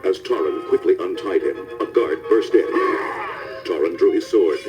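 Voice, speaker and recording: A man's voice: sits low, normal speed, dull audio, over music. A man giving scripted narration of a children's storybook as narrator.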